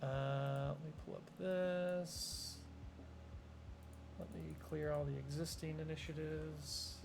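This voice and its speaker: A man's voice: a little high, flat delivery, slow pace, vocal fry, background noise. A young man running a tabletop game as game master, rambling with plenty of fillers and restarts. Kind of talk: casual tabletop game narration.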